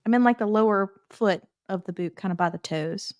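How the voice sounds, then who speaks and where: A woman from Louisiana with a Southern US accent chats in a friendly, light-hearted way as a podcast co-host. Her voice is of middle pitch. The recording is dry and close. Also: brisk, a little mellow, dry recording. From Baton Rouge, Louisiana. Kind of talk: casual chat.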